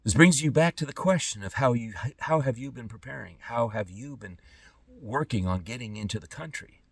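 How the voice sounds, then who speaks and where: Man, middle-aged, podcast monologue, emphatic halting delivery, medium pitch, set back from the mic. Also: animated, vocal fry, husky, no echo, far from the mic. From born in Trinidad and Tobago, lived in California. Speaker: podcast host. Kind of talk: unscripted monologue to camera.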